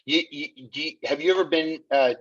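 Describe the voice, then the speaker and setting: A man's voice: a little high, brisk. Guy hosting a podcast, upbeat, kinda muffled lo-fi sound. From United States. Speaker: podcast host. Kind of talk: conversational interview.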